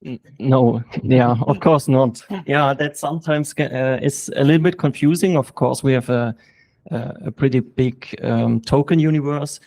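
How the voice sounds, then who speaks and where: A man's voice: medium pitch, normal speed, dark tone. Guy on a panel, hesitant, lots of ums, ordinary mid voice. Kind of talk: panel discussion.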